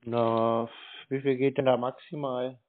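A man with a mid-pitched voice talks casually over a narrow telephone line. He is laconic and sounds clueless, keeping his replies short and plain. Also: level tone, measured pace, no room sound. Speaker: caller being pitched, scam-baiter. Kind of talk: casual phone conversation. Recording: muffled narrow phone line.

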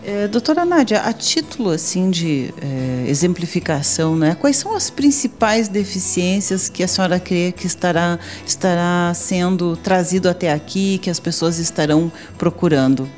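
A Brazilian woman in her forties hosts a TV interview, chatting her way into each question. Her voice sits on the low side for a woman. Faint music runs underneath. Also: expressive, normal speed, hardly any pauses, a little mellow. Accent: Brazilian Portuguese. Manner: chatty, leading questions with fillers. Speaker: TV host. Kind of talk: interview hosting. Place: office meeting room. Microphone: handheld mic.

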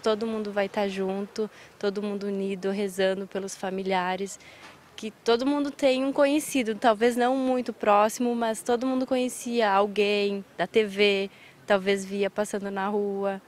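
Woman, Brazilian accent, monotone, somber. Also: medium pitch, normal speed, a little hiss or hum. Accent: Brazilian Portuguese. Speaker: interviewee. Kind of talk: street interview. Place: outdoors in a park. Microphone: reporter's handheld mic.

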